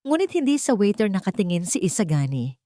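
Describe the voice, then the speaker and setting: A woman narrating a scripted romance story in a deliberate, emotive, dramatic way. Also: mid-range voice, big pitch swings, dark tone, no echo, studio quality.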